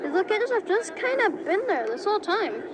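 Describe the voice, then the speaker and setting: A woman's voice: extremely high pitch, sing-song, fairly quick. A woman voicing a zany, theatrical male ringmaster who hosts a digital circus in a fan dub. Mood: playful. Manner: theatrical, silly and bossy. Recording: noisy home recording.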